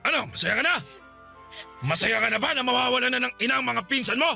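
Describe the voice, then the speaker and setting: Menacing, volatile Filipino uncle. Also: male voice, very high voice, animated, fast talker, gravelly, soft background music, exaggerated voice. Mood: furious. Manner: scolding, barking threats and sharp questions. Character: abusive, menacing middle-aged uncle, hot-tempered bully. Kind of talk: Filipino radio drama acting.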